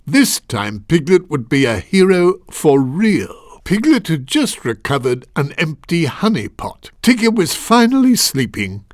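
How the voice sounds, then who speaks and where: A man playing a kindly, patient storyteller, narrating a children's game in a measured, gently musing way. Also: medium pitch, no room sound, studio quality.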